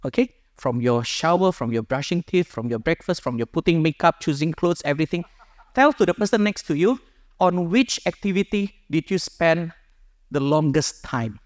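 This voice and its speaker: A man's voice: on the high side, animated, moderate pace, dry recording, studio quality. A man, a friar sharing his personal story with a small group in an earnest, conversational way, speaking into a microphone. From Indonesia. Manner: earnest, conversational, rephrases as he goes.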